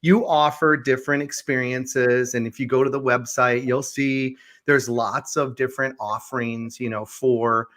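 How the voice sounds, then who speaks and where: A man's voice: medium pitch, fairly even intonation, moderate pace, hardly any pauses, fairly bright tone. A man hosting a conversational interview, affirming his guest and repeating phrases as he works toward his questions. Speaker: podcast host.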